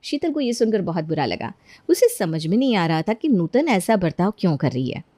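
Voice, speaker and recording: A woman's voice: on the low side, sing-song, brisk, dark tone, no room sound. A woman narrating a scripted cartoon bedtime story as the storyteller.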